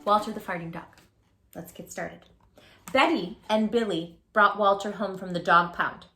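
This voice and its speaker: A woman hosting a read-aloud storytime for young children, speaking in an animated, emphatic way straight to her little listeners. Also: mid-range voice, normal speed, soft background music. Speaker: children's storytime host and tutor.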